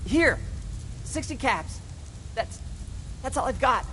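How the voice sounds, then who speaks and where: A terrified video game civilian, begging with a stammer. The pitch leaps around wildly in panic. Clear rain noise runs behind the voice. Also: lively intonation, slow pace, sharp tone, big-room sound, heavily acted. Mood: panicked pleading. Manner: stammering, pleading.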